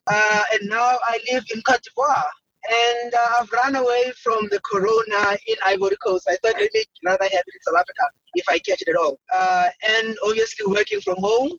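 A man who sells insurance, introducing himself in a lively, jokey way. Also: very high voice, gravelly, slightly rough, dry recording, dull audio. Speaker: insurance salesman guest.

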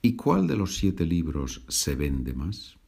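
A man's voice: a bass voice, very animated, slow pace, creaky, darkish tone, breathy edge. A man hosting a language-learning podcast, patiently repeating and translating each sentence. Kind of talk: slow bilingual teaching podcast.

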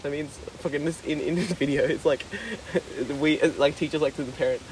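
Young dude podcast host, joking crude chat, kinda high voice. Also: male voice, steady tone, fairly quick, darkish tone, a little hiss or hum, poor audio. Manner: crude, joking, laughs a lot. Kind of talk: casual chat.

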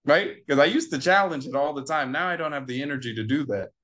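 A man's voice: a little high, fast, a bit bright. A man telling a personal story in an interview, acting out the quoted exchanges and repeating phrases for emphasis. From United States. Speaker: interview guest. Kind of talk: conversational storytelling.

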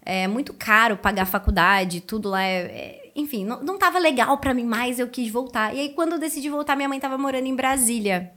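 A woman in her thirties with a Carioca Brazilian accent, telling her story casually as an interview guest, chatty and colloquial, quick to laugh. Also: fairly high pitch, pushed and bright. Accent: Carioca Brazilian Portuguese. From Rio de Janeiro, Brazil. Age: 30s. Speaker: podcast guest, educator and speaker.

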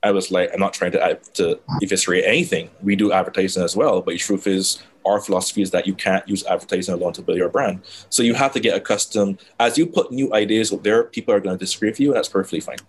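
A man from Barbados, a guest talking about building a brand in a relaxed, dry conversational way. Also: low-pitched, quick pace, hardly any pauses, a little hiss or hum. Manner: relaxed, reflective, self-described dry delivery. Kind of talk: casual interview conversation.

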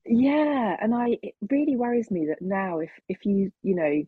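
A woman's voice: medium pitch, lively intonation, moderate pace, breathy edge, no room sound. A middle-aged woman in reflective, casual conversation, speaking thoughtfully and hesitantly on a muffled online-call recording. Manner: hesitant, thoughtful, chuckles while talking.

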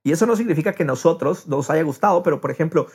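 A man's voice: a little high, animated, very fast, a little mellow, slightly rough. A man with a Latin American accent chatting casually and expressively on a podcast, often repeating words as he thinks aloud. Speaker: podcast host.

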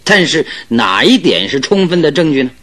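A confident private detective in a radio play. He lays out his logic in measured steps and rhetorical questions, with expressive rises and falls over background music. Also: fairly high pitch. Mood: firm. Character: calm, analytical male private detective, confident reasoner. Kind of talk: Mandarin radio drama acting.

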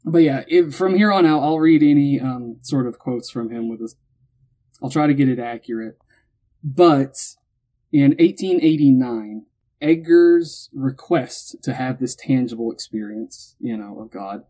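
A young man hosting a casual podcast chat, retelling a story in an animated way and acting out quotes. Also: medium pitch, unhurried, poor audio. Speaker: podcast host telling the story.

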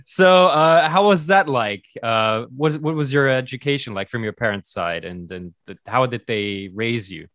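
Hesitant podcast host, dry sound. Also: male voice, medium pitch, animated, normal speed, few pauses, a bit bright, no room sound. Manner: hesitant, searching for words. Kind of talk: interview questions, casual.

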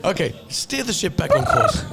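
A man's voice: high voice, very animated, moderate pace, heavy background noise. A man hosting a casual, bantering chat, teasing and joking with plenty of swearing. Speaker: podcast host.

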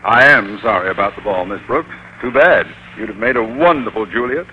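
An actor playing a pompous, blustering American school principal in middle age, in an old-time radio comedy. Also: male voice, on the low side, lively intonation, normal speed, natural voice. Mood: mock-sorrowful, theatrical. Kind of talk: 1940s-50s radio comedy acting. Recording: muffled, noisy vintage radio recording.

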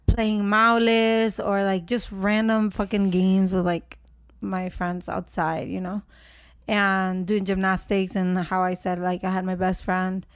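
A woman's voice: medium pitch, measured pace, clean tone, no echo. Casual podcast co-host, young woman from Honduras, blunt and profane, dry close sound. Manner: blunt, swears freely, animated storytelling. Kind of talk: casual chat.

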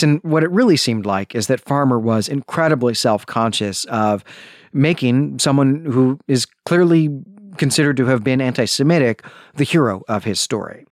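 A man's voice: medium pitch, no echo, studio quality. A man hosting a podcast, giving a review in an enthusiastic, emphatic and conversational way. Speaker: podcast host. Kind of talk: book review podcast monologue.

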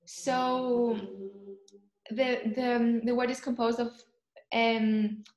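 A young woman from Cyprus, chatting in an interview and often pausing to search for words. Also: on the high side, little pitch movement, darkish tone, reverberant, poor audio. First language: Greek. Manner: thoughtful, searching for words, frequent ums. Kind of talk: casual chat.

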